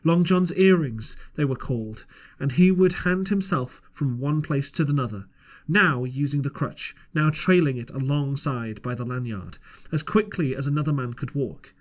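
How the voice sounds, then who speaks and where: A man with a slightly high voice reads an old seafaring tale aloud. He narrates from a script as an amateur audiobook reader, now and then tripping over a word and taking it again. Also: expressive, normal speed. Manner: stumbles over words and rereads phrases. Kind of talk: scripted storybook narration of an old sea adventure.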